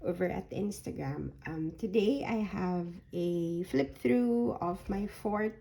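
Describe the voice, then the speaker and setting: Woman, hoarse gravelly voice, mellow tone, slow craft walkthrough, soft-spoken. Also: fairly low pitch. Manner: soft-spoken, unhurried, frequent ums. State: hoarse, voice recovering from a sore throat. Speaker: paper crafter showing a journal folio. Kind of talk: slow, casual craft flip-through.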